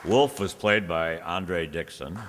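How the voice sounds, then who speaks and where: A man's voice: low-pitched, slow, crowd noise, noisy background. A man reading out cast credits as an announcer for a radio play. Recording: echoey hall.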